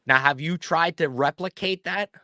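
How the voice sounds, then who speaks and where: A man from Pennsylvania hosting a casual chat, playfully asking questions in an animated way. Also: a little high, moderate pace, no room sound, studio quality. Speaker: channel host.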